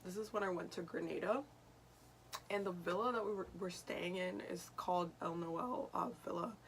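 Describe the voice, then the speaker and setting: A young woman with a lowish voice that is raspy after just waking up. She tells a story to camera in a loose, chatty way, circling back and correcting herself as she goes. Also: normal speed, slight background noise, low-quality recording. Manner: chatty, meandering, frequent self-corrections. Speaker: vlogger and spiritual medium.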